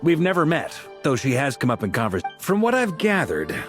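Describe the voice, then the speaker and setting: Easygoing knight, lively delivery. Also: male voice, on the high side, brisk, music in the background. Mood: matter-of-fact. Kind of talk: video game character acting.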